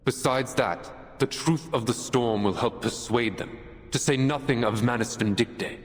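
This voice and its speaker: An actor playing an earnest, resolute military officer in a game, making a measured, formal and persuasive case to a tribunal. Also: male voice, mid-range voice, lightly acted. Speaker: soldier defending himself at a tribunal. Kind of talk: dramatic game voice acting. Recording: long hall reverb, music behind.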